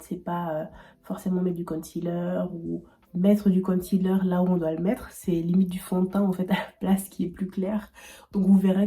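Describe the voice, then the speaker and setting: A woman's voice: fairly low pitch, mellow. A young woman in her twenties with a cold, chatting casually while doing her makeup, rambling with many fillers and restarts. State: has a cold. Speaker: beauty vlogger.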